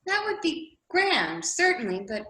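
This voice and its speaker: Woman acting a young girl, high and very animated, prim, questioning, easily indignant, echoey room. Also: unhurried, exaggerated voice. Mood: polite curiosity. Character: curious, polite, indignant young girl Alice. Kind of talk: readers' theater of children's classic.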